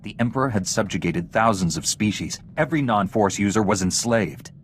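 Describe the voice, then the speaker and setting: A man's voice: fairly low pitch, brisk, slight background noise, lightly acted. An actor playing a principled young male Jedi knight in a video game, earnest and resolute. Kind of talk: dramatic video game dialogue.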